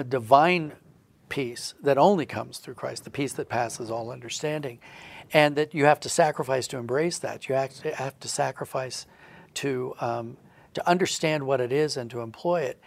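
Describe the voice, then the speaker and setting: A man's voice: medium pitch, moderate pace, creaky. A man giving earnest, reflective explanations as a guest in a conversational interview. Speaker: guest, audio drama writer.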